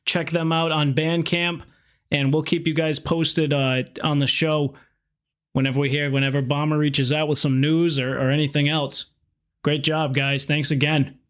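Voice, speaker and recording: A male podcast host with a smooth, even voice that stays flat in pitch. He sounds friendly and casual, and the sound is dry and close. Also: normal speed, dry recording. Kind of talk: casual interview hosting.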